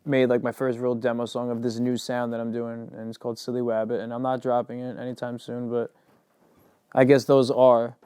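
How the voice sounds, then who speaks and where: A man's voice: medium pitch, completely flat delivery, a little fast, dark tone, clear, resonant tone, no echo, clean studio sound. A young man, a rapper and singer, talking casually in an interview, rambling and filling his sentences with "like". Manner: loose and rambling, heavy on "like".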